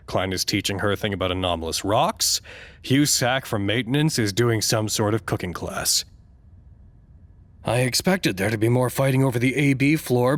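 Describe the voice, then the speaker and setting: Male actor as formal staffer, audio drama log, measured delivery, wry, dryly curious, close dry sound. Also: medium pitch, no echo, natural voice. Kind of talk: scripted audio drama monologue.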